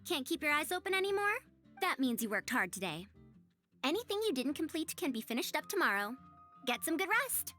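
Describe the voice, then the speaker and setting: A woman's voice: high-pitched, sing-song, brisk, bright, forceful tone, soft background music, heavily acted. A woman playing a prim, proud but kindly young-sounding researcher in a video game, speaking formally and articulately in wordy, old-fashioned phrases. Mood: gentle. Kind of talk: scripted video game character dialogue.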